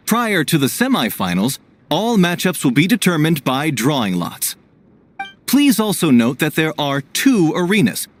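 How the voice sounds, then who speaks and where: A man playing a formal, courteous tournament official, announcing clearly in a video game. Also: on the high side, slight background noise.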